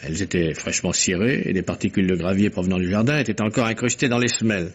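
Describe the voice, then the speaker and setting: An actor playing a courteous, analytical gentleman detective in a vintage French radio mystery, with precise, theatrical delivery. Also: deep voice, fast, slight background noise, close to an everyday voice. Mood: modest, explanatory. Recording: muffled old radio drama recording.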